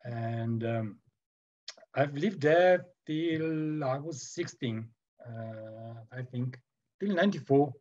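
A man in his forties from Serbia with a Serbian accent, speaking as a guest on a video call interview, halting with many fillers and restarts. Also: medium pitch, lively intonation, a little mellow. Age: 40s. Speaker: guest sculptor. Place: home workroom with shelves. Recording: muffled video call audio.

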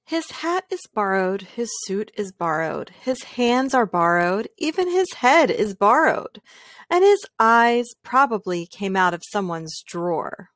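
A woman reading a children's picture book aloud in a calm, gentle, measured way, pausing between phrases. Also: mid-range voice, no room sound, studio quality. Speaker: read-aloud storyteller.

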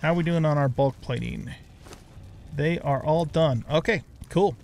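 A man's voice: fairly high pitch, normal speed, a little mellow, a little hiss or hum. A middle-aged man giving casual let's play commentary, unhurriedly thinking aloud step by step.

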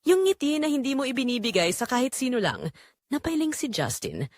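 Woman narrating drama with a lively, expressive pitch. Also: fairly quick, no room sound, clean studio sound. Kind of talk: scripted dramatic story narration.

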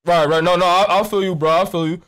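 A young American man with a high voice rambles nonchalantly in street slang. He swears freely as he works a prank call, speaking close into a studio mic. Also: steady tone, fast talker, fairly bright tone, clean tone. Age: young adult. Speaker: prank caller and streamer. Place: indoors at a streaming desk. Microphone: close studio mic with pop filter, headset on.

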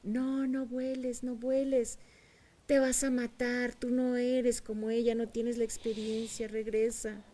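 A woman voicing an anxious, protective mother hen who pleads and cries out high and loud. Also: level tone, moderate pace. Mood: frantic pleading.

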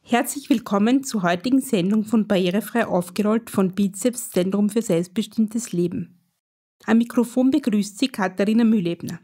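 A woman hosts a radio program with a mellow, warm voice of middling pitch. She reads her lines in a measured, scripted way, with clear, even phrasing. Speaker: radio show host.

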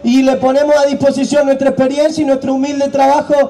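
A man's voice: very high-pitched, monotone, fast talker, mellow. A young man delivering an emphatic solidarity speech at an outdoor rally through a handheld mic. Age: young adult. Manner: emphatic, raised-voice oratory. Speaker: student federation delegate. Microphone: handheld mic over a PA.

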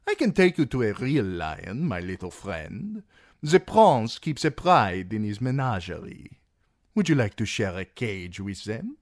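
A male narrator voicing a fat, jovial merchant magister who needles his guest in a genial, mocking, drawn-out way. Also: fairly low pitch, very animated, no room sound, studio quality, voice pushed up. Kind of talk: audiobook character reading.

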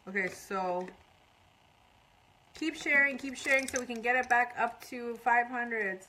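Woman, 30s, makeup artist going live; bright voice, slight hiss behind her. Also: on the high side, a little slow, low-quality recording. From Iran. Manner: chatty, reads out viewer comments while working. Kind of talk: casual livestream makeup tutorial. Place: small indoor room before a curtain.

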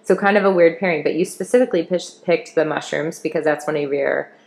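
Low-voiced woman interviewer, roomy kitchen. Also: quick pace, gravelly, a little mellow. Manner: friendly, chatty questioner with fillers. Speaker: chef and interviewer. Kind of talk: casual interview. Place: home kitchen, indoors. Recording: roomy home kitchen sound.

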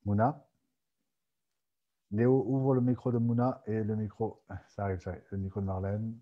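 A man from Aix-en-Provence hosting a casual video-call Q&A, relaxed and chuckling, with frequent hesitant restarts. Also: fairly low pitch, moderate pace. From France, Aix-en-Provence. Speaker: podcast host and moderator. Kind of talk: casual Zoom Q&A chat. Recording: dull muffled call audio.

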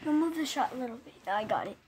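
A young woman with a very high voice talks in a casual, off-the-cuff way. She sounds like a co-streamer chatting with a friend in a room. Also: animated, quick pace, a little mellow, slight background noise. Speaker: co-streamer making prank calls. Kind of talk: casual chat.

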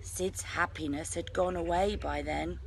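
A woman's voice: lowish voice, vocal fry. A middle-aged woman reading a children's story aloud expressively, with little asides to young listeners. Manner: slow, animated delivery with asides to young listeners. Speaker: teacher reading a picture book to a class. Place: outdoors in a leafy garden. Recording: noisy outdoor ambience.

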